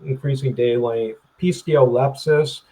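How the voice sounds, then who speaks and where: A man speaking as a guest over a webcam video call, rambling and halting, clearing his throat and restarting his sentences. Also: mid-range voice, steady tone, warm tone. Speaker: plant grower, interview guest. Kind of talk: casual interview chat.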